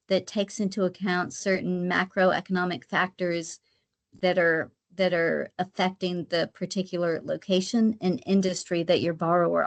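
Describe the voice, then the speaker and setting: A woman's voice: lowish voice, gravelly. A woman giving an explanatory webinar presentation step by step, sometimes repeating her phrases as she goes. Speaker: guest presenter, credit rating specialist.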